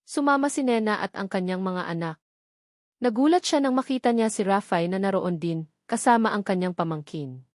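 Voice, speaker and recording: A woman's voice: mid-range voice, expressive, normal speed, darkish tone, dry recording, clean studio sound. A woman reading scripted, dramatic narration of a romance story as a storyteller.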